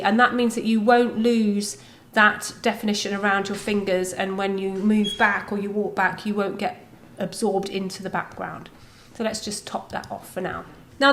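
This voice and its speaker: Woman doing an informal how-to video, mid-pitched voice, talks you through each step. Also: fairly bright tone. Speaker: tutorial presenter. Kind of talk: video software tutorial, explanatory and conversational.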